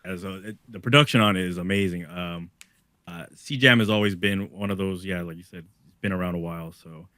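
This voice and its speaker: A man's voice: low voice, a little fast, sharp tone, no echo, studio quality. A man co-hosting a music podcast, talking in a laid-back casual way with lots of "like" and "dude". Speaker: podcast co-host. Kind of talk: casual music review chat.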